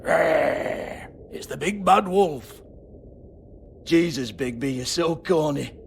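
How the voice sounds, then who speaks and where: An actor playing a sleazy, foul-mouthed strip club boss in a video game, with a British accent and a mocking, sarcastic manner. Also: male voice, fairly high pitch, animated, slow pace, vocal fry, slight background noise, heavily acted. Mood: mocking, taunting. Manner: sarcastic, sneering, profane.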